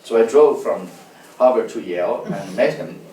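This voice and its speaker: A man gives a university lecture as a guest lecturer, telling stories in an animated way with many fillers and restarts. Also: lowish voice, moderate pace, a little hiss or hum. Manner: lively storytelling, frequent fillers and restarts. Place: lecture hall. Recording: echoey hall, distant mic.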